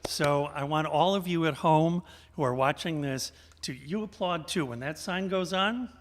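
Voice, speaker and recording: A man's voice: a little high, moderate pace, noisy background. A man hosting a live radio theater show from a church, folksy and tongue-in-cheek, with theatrical emphasis. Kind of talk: live stage hosting, storytelling. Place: large church sanctuary, indoors. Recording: reverberant church sanctuary.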